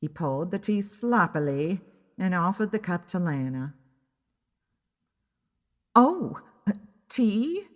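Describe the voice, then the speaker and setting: A woman's voice: lowish voice, moderate pace. A woman narrating a first-person story in a dramatic audiobook style. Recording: long reverb on the voice.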